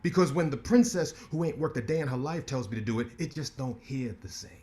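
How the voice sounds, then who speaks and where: An actor playing a brash, ambitious lawyer who talks with cocky sarcasm in a staged play reading. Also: male voice, medium pitch, expressive, brisk, mellow, close to an everyday voice.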